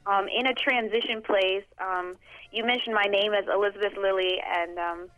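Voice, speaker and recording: A young woman from Boston speaks as a guest in a conversation, weighing her words slowly and filling pauses with soft "um"s. Her voice sits at an ordinary middle pitch. The recording sounds muffled and lo-fi, with no room echo at all. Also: fairly even intonation. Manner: thoughtful, measured, hesitant with frequent "um". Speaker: guest poet. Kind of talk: interview conversation. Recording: dull lo-fi dry recording.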